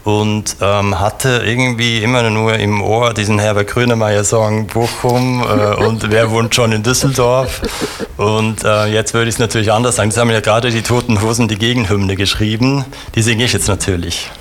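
Hesitant man, low voice. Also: little pitch movement, moderate pace, background noise. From Switzerland. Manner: thoughtful, many hesitations and restarts. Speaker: theater director, guest. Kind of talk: conversational interview answers.

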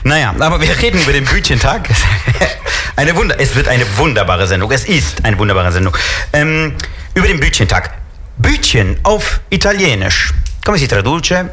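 A man's voice: mid-range voice, very animated, moderate pace, pushed and bright, faint music behind. A man hosting a talk show, asking questions and joking around. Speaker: host. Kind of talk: casual chat.

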